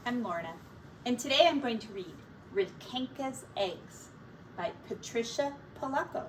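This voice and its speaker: A woman reading a children's picture book aloud as a teacher, in a deliberate, expressive storytelling manner. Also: fairly high pitch. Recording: distant mic with faint background noise.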